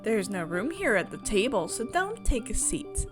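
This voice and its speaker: Woman as eccentric tea-party girl, unwelcoming, swooping pitch, game character, music behind. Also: big pitch swings, moderate pace, lightly acted. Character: Mad Hatter, eccentric unwelcoming girl playing with puppets.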